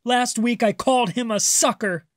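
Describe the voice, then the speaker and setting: A man's voice: very high voice, moderate pace, a bit bright, no room sound, studio quality, voice pushed up. A man voicing a young boy shark from a storybook, plaintive, childlike and pouty. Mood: self-blaming.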